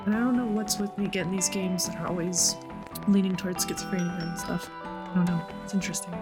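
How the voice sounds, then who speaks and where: Woman gamer over loud music. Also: on the low side, steady tone, fast. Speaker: gaming channel host. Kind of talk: let's play commentary, reading game text aloud.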